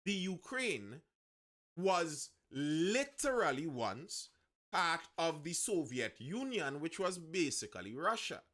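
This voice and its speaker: Bright-voiced Trinidadian man talking news. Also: fairly high pitch, sing-song, takes it slowly. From Trinidad and Tobago. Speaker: host of a live news discussion stream. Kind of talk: conversational news commentary to live viewers.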